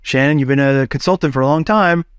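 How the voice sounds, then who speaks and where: A man hosting a business podcast, talking in an energetic, chatty way with quick shifts and casual fillers. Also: medium pitch, smooth, dry recording, studio quality. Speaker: podcast host.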